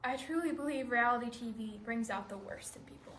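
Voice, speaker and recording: A woman's voice: on the high side, a little fast, a bit bright, close to an everyday voice. A woman performing readers theatre as a righteous, reproachful reality show contestant, speaking emphatically. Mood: indignant, reproachful. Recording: echoey room, some background noise.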